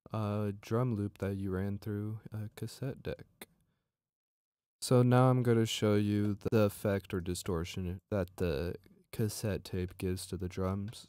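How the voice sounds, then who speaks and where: Deep mellow guy walking thru a music production tutorial, informal, step by step. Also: smooth, no room sound, clean studio sound. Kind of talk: tutorial screen narration.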